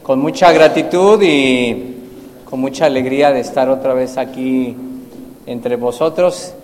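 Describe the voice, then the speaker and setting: A man from Mexico with a Mexican accent, preaching a sermon with prayer and scripture reading, slow and halting, often repeating his words. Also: mid-range voice, a little mellow. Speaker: visiting preacher. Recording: long hall reverb, faint noise.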